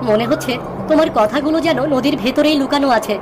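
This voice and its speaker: A woman's voice: high voice, fast talker, noisy background, natural voice. A woman voicing a curious, earnest young boy from the city who asks eager, wondering questions in a cartoon story. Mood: wondering, concerned.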